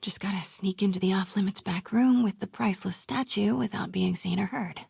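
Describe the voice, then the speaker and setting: A woman playing a plucky, quick-witted young female investigative reporter in a video game, with a breathy voice. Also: medium pitch, dry recording, studio quality, exaggerated voice. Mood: hushed, focused. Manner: hushed muttering to herself.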